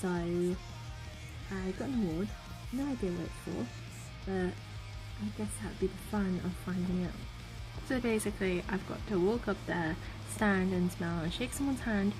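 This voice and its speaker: A young woman with a slightly croaky voice from a sore throat, talking earnestly to camera in a casual vlog, full of "you know" fillers. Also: medium pitch, normal speed, poor audio. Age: young adult. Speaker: vlogger. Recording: music playing in the background.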